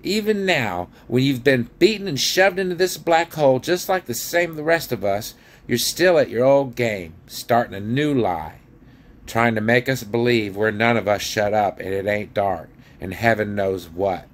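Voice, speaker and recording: A man's voice: medium pitch, normal speed, lightly acted. A man voicing a surly, stubborn, indignant male dwarf in a storybook reading, with a grumbling, scornful, colloquial manner. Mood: disbelieving.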